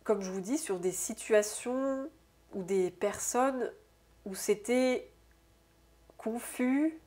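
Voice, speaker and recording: A woman with a stuffy, congested nose from a cold, giving an intuitive oracle card reading to her viewers, musing aloud softly and often tagging her sentences with "hein". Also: fairly high pitch. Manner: soft, unhurried, musing aloud with frequent "hein". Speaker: soul therapist and oracle card reader.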